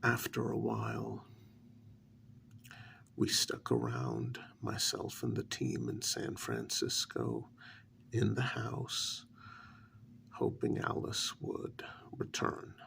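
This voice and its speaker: A man performs a subdued, respectful field agent in an ASMR role-play, reporting to a superior. His gravelly voice is lowish and half-whispered, with halting phrases that swing noticeably in pitch. A faint noise sits behind the close-miked delivery. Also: very animated, a bit bright, heavily acted. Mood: measured, subdued. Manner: measured, halting, deferential.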